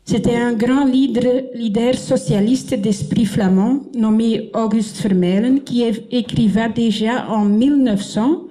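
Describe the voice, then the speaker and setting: Flemish woman reading a conference speech from her notes, slow and halting, somewhat high, mellow voice. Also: rough recording. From Flanders, Belgium. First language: Dutch. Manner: halting, with frequent self-corrections and restarts. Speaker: former politician giving a conference speech. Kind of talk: prepared speech read from paper. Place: conference in Paris.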